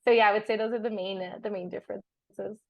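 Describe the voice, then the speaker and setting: A woman's voice: mid-range voice, steady tone, fairly quick, gravelly, no room sound, lo-fi sound. French-native woman, dry close sound. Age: young adult. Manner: chatty, laughs often, restarts words. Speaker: guest. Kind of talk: casual interview chat. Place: on a sailboat.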